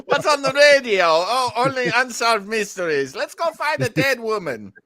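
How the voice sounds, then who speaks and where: A man's voice: extremely high pitch, big pitch swings, moderate pace, a crowd in the background. A man hosting a show with casual, joking commentary. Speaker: show host.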